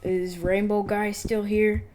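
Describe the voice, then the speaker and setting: A man's voice: little pitch movement, slow pace. A teenage male gamer giving casual, rambling, jokey commentary while playing and reading game dialogue aloud. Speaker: gamer recording a playthrough. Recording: very noisy, car-like rumble.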